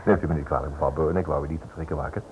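An actor playing a smooth, prying writer in a radio play, polite but insinuating and persistent. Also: male voice, a bass voice, steady tone, racing, darkish tone. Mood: polite, coaxing. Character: smooth, prying male writer probing a prisoner's wife. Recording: noisy, dull old radio drama recording.

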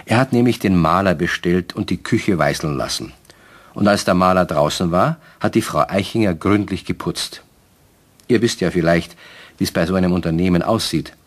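A man narrating a children's radio play as a calm storyteller who speaks directly to young listeners. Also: deep voice, normal speed. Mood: calm, explaining.

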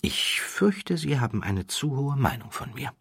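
Man playing a reserved, clear-voiced gentleman count. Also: medium pitch, big pitch swings, unhurried, dry recording, clean studio sound, pitched above the performer's own voice, heavily acted. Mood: modest, reluctant. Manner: polite, restrained, formal. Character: modest, reserved aristocratic gentleman card player, a feigned count. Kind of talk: audiobook character dialogue.

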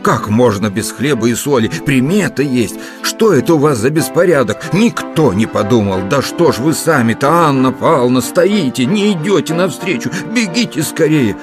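A man's voice: mid-range voice, sing-song, normal speed, music in the background, lightly acted. A man voicing a fussy, superstitious country neighbour in an audiobook, bossy and scolding, full of exclamations. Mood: agitated scolding. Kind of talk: audiobook character voice.

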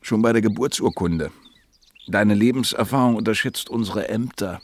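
A man's voice: on the low side, moderate pace, lightly acted. An actor in a German radio play playing a wry, laconic mobile disc jockey in his mid-thirties who flirts in short, dry phrases. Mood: wry, flirtatious.

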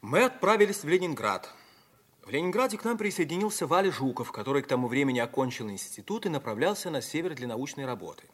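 An expressive storytelling narrator. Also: male voice, mid-range voice, quick pace. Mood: measured, storytelling. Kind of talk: scripted dramatic narration, 1950s radio.

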